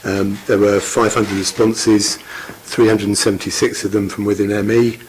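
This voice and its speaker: A man presenting a council report in a formal, measured way with frequent fillers. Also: fairly low pitch, flat delivery, slight background noise, poor audio. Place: council meeting room.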